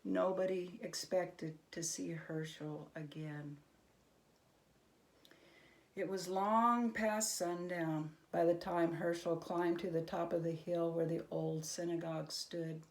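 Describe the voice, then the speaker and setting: A woman narrating a children's story as an expressive storyteller. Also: on the low side, slow.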